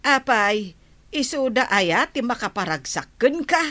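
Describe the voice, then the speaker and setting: A woman's voice: a little high, lively intonation, unhurried, bright, forceful tone, no room sound. A woman with a Filipino accent playing a village mother advising her grown daughter in an Ilocano radio comedy drama. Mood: coaxing.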